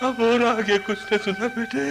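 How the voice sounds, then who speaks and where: Sorrowful old village father, radio drama, fairly high voice, very slow lamenting delivery. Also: fairly even intonation, music in the background. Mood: grieving, pained. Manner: lamenting, repeats phrases in sorrow. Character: grief-stricken old father, weary elephant handler. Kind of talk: Hindi radio drama acting.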